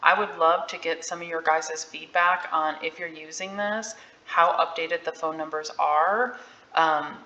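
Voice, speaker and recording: Woman, low bright voice, informal tutorial delivery, candid, echoey room, dull recording. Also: fairly even intonation, measured pace. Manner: informal, candid about not being an expert. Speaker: realtor giving a software tutorial. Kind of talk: casual screen-share walkthrough. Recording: dull, roomy-sounding audio.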